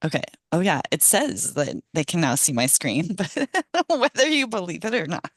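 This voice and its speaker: A middle-aged woman, hoarse from a cold, giving a casual presentation on a video call with many ums and small laughs. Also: fairly low pitch, lively intonation, moderate pace, dry recording, studio quality. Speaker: guest speaker, ecologist. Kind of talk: online meeting presentation.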